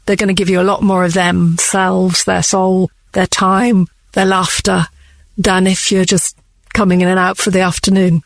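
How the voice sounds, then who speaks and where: Woman in a relaxed interview. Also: lowish voice, moderate pace, creaky, no echo. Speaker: drama director being interviewed. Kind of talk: conversational radio interview.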